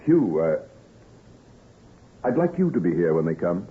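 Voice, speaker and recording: A man playing a troubled, weary gentleman doctor in an old-time radio drama, guarded and subdued. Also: low voice, very animated, slow, phone-quality sound, full character voice. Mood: uneasy, resigned.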